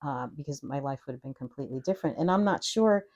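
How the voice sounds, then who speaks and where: A woman in her fifties from New York City, chatting as a guest in a casual interview, lively, laughing often and murmuring agreement. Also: very deep voice, fast talker, dull audio. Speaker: guest, wellness practitioner. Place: indoors at a spiritual center.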